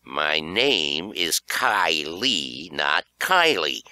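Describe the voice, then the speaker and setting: A man's voice: very deep voice, big pitch swings, bright, forceful tone, heavily acted. Man voicing a growling, menacing boy bully. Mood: seething. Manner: slow, growling, through clenched teeth.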